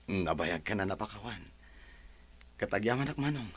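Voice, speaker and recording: An actor playing a calm, forgiving man who reassures his brother in a radio drama. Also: fairly low pitch, normal speed, breathy edge. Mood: reassuring. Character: forgiving man named Marshal, calm and steady. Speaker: brother character.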